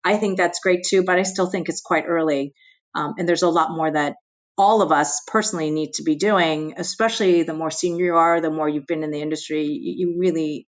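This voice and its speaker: A middle-aged American woman whose first language was Chinese, speaking candidly as a podcast guest, with many "you know" fillers. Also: deep voice. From United States. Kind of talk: conversational interview.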